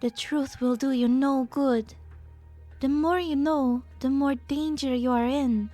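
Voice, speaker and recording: A woman voicing a serene, unafraid scientist with a soft voice in a game fan dub. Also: high voice, fairly even intonation, unhurried, dry recording, soft background music. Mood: serene, gently warning.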